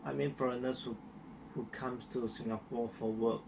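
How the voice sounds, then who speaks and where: A man's voice: medium pitch, little pitch movement, moderate pace, vocal fry, recorded from a distance, noisy background. A young man from Malaysia with a Malaysian accent, answering interview questions in a hesitant way with many restarts and fillers.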